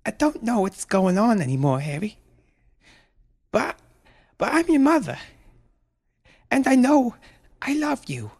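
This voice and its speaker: A man voicing an anxious, protective mother in a story, with a breathy, pleading urgency. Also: medium pitch, big pitch swings, measured pace, long pauses, a little mellow, pitched above the performer's own voice, exaggerated voice.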